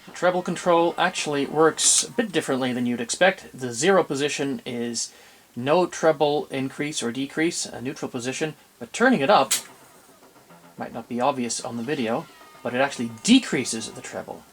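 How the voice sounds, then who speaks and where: Male demonstrator, mid-pitched, talking through equipment unscripted in a methodical, explanatory way. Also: animated, moderate pace, slight background noise. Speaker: presenter demonstrating vintage audio gear.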